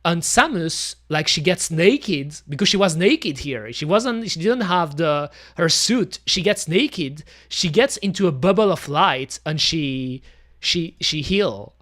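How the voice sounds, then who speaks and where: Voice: medium pitch, expressive, slow, fairly bright tone. A streamer from Belgium with a Belgian accent, whose first language is French, chatting casually to viewers while playing and often saying "you know" and "pretty much". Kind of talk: casual gameplay commentary.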